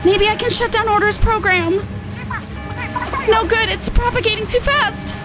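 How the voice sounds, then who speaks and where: A woman voicing a gentle, earnest young female inventor who speaks with thoughtful, resolute sincerity. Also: extremely high pitch, moderate pace, over music, voice pushed up. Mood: urgent.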